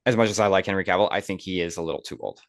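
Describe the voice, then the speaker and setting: A man's voice: deep voice, steady tone, very fast, dry recording, studio quality. A man talking casually about entertainment on a podcast, in a conversational co-host manner. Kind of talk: casual film discussion chat.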